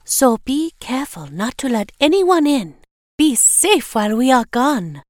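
A happy little farmer out of a storybook, voiced by a woman narrator. The voice is raised in pitch, kindly and cheerfully welcoming. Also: big pitch swings, measured pace, darkish tone, dry recording. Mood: kindly, cautioning. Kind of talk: storybook character voice.

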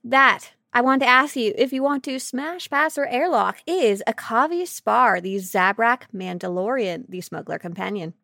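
A woman with a bright, somewhat high voice co-hosts a gaming podcast. She talks informally and playfully, often gushing about what she likes. The sound is very dry, with no room echo. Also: animated, moderate pace, vocal fry, hardly any pauses. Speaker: podcast co-host. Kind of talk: casual chat about a video game.

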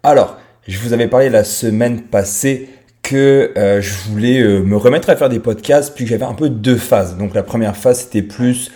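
A man's voice: mid-range voice, moderate pace, mellow. A man in his thirties with a French accent, talking straight to the listener in an informal, unscripted podcast monologue. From France. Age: 30s. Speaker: podcast host.

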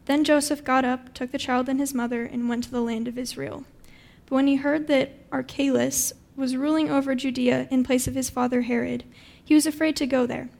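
A woman's voice: high voice, flat delivery, fairly quick, vocal fry, a little mellow. A woman reading a Bible passage aloud from a script as narration. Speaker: scripture reader.

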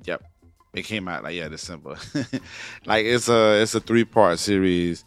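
A man's voice: low-pitched, measured pace, dry recording, faint music behind. A man in his forties hosting a podcast, talking in a loose, rambling casual chat full of slang and restarts. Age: 40s. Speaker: podcast host.

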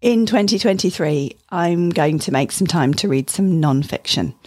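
A woman's voice: fairly low pitch, moderate pace, a little mellow, breathy edge, no echo. A woman giving a prepared book review as a podcast guest, speaking in a reflective, personal way. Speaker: advanced clinical practitioner, podcast guest.

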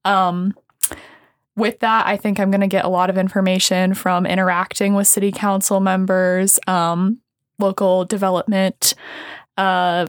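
A woman's voice: medium pitch, level tone, unhurried, no echo. Young woman intern, dry close sound. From South Dakota. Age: young adult. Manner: thoughtful, many ums and uhs. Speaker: summer intern. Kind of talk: casual interview.

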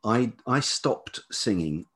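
A man's voice: lowish voice, expressive, slow pace, husky. An older man, telling personal stories reflectively as an interview guest, in a hesitant, thoughtful way. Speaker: podcast guest, writer and musician.

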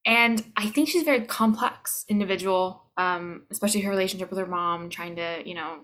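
A woman's voice: on the low side, brisk, a bit bright. A woman in her twenties with a Canadian accent, talking casually and reflectively as an interviewed voice actor, sometimes searching for words. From Toronto, Canada. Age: 20s.

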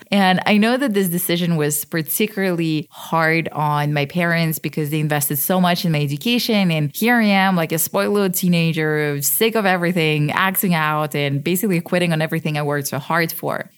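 A woman in her thirties from Switzerland hosts her own podcast and talks the listener through her story. Her voice sits a little low for a woman. She keeps it candid and chatty, often laughing at herself. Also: moderate pace. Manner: conversational, candid, self-deprecating. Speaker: podcast host. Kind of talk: personal storytelling monologue.

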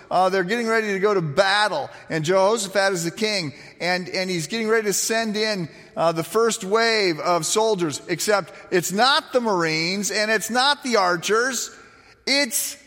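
Male preacher, slightly high voice, raspy when quiet, lively storytelling sermon, long hall reverb. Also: measured pace. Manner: animated storytelling with frequent restarts and fillers.

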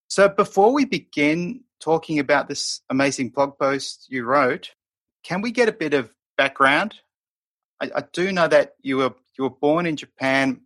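A man's voice: medium pitch, a bit bright. A man hosting a podcast, reading a friendly introduction and then asking his guest questions. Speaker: podcast host.

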